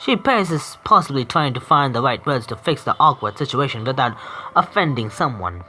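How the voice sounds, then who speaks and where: A man's voice: mid-range voice, very animated, normal speed, sharp tone, slight background noise. A man streaming himself reading a visual novel aloud, often stumbling and correcting himself.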